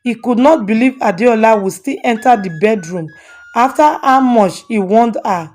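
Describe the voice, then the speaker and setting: A woman's voice: on the high side, normal speed, darkish tone, faint music behind. A woman storyteller hosting and dramatically narrating a moral tale. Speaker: storyteller and channel host.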